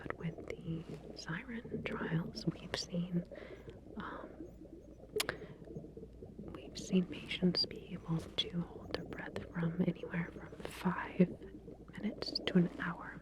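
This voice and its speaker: Female ASMR researcher role: hushed whisper, lowish voice, gentle clinical explanations with hesitant pauses. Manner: soft, hesitant, explanatory, reassuring clinical talk. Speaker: ASMR roleplayer playing a lab researcher. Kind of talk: whispered ASMR medical roleplay.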